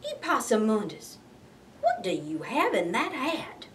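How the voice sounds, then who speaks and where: A woman's voice: fairly high pitch, huge pitch swings, measured pace, distant mic, slight background noise. A woman voicing an exasperated, scolding possum mama in a folksy, emphatic storybook style. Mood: suspicious, questioning.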